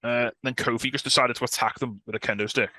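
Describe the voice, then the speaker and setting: Guy doing casual podcast news chat, crisp bright voice, dry close sound, bit sweary. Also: mid-range voice, steady tone, quick pace, dry recording. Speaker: podcast host.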